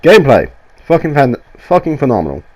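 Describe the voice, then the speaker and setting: A man's voice: medium pitch, big pitch swings, a little fast, darkish tone. Nasal, sweary man with a cold. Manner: rambling, sweary, enthusiastic, restarts often. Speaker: gaming YouTuber. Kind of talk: casual game review voiceover. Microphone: voiceover mic.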